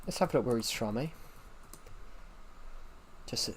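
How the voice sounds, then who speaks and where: A man's voice: medium pitch, lively intonation, moderate pace, a little hiss or hum. A British man with a West Country accent, a streamer chatting casually with his viewers. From Great Britain. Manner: rambling, restarts and repeats phrases. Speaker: game streamer.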